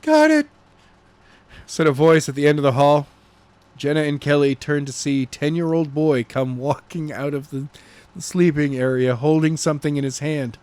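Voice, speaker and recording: Man giving a halting story narration, slightly high-pitched. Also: steady tone, darkish tone, no echo. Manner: halting, stumbling read with self-corrections. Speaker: narrator reading a novel chapter. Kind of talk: animated amateur audiobook narration.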